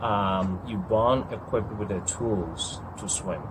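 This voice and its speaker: Man in his forties from Ghana, low voice, reflective and hesitant, noisy outdoors with airplanes overhead. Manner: reflective and hesitant, with frequent pauses and ums. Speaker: interviewee. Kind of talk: interview, personal story. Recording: outdoor noise with passing airplanes.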